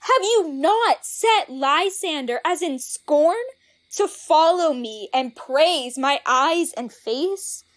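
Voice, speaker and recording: A woman's voice: high-pitched, lively intonation, takes it slowly. An actress playing a spurned, indignant young woman in a Shakespearean audio drama, declaiming verse theatrically. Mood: reproachful.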